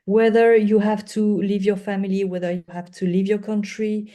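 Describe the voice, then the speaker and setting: A woman who is not a native English speaker, talking as a podcast guest in an earnest, halting way with emphatic repetition. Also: mid-range voice, little pitch movement, mellow. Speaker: guest lawyer. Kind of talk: conversational podcast interview.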